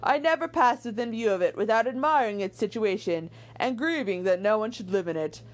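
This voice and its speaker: A woman voicing an ardent, impulsive young gentleman suitor from a period novel, eager and declamatory in formal language. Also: fairly high pitch, lively intonation, brisk, close to an everyday voice. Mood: fervent.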